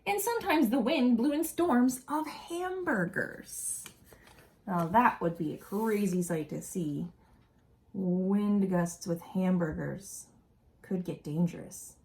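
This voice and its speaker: A woman reading a children's picture book aloud expressively, with deliberate phrasing and asides for young listeners. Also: medium pitch, a little mellow, rough recording.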